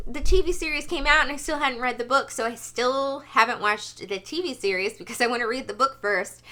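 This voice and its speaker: A woman with a fairly high, bright and forceful voice speaks in a casual vlog style. She chats informally as a book vlogger, leaning emphatically into key phrases with an animated delivery.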